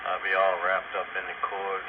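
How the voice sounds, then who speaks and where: A man's voice: deep voice, completely flat delivery, normal speed. Folksy older man on a noisy radio. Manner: folksy, often says "man". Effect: radio transmission. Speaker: amateur radio operator in a group chat. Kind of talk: casual storytelling chat. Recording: muffled noisy two-way radio transmission.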